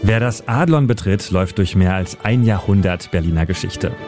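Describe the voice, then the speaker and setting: Low-pitched male radio feature narrator. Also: moderate pace. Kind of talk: scripted history narration. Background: instrumental music bed.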